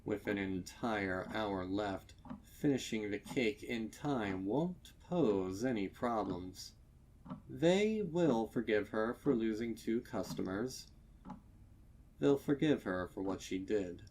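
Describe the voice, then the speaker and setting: A man reading a scripted horror story as narrator, with deliberate, dramatic delivery. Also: lowish voice, animated, a little mellow, slight background noise, rough recording. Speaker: narrator of fan fiction readings.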